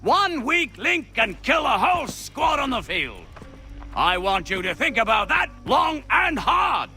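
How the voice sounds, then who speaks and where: An actor playing a stern army officer in a video game, giving a commanding, declamatory military speech to recruits. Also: male voice, extremely high pitch, sing-song, a little slow, sharp tone, raised voice, faint music behind, heavily acted. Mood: stern rousing.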